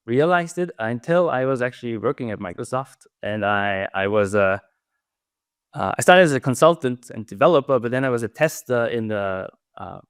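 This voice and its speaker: Austrian man, soft trailing answers, dry mic. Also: medium pitch, clear, resonant tone, no room sound. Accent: Austrian German. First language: German. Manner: thoughtful, restarts phrases, trails off softly. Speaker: security researcher guest. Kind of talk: casual interview answers.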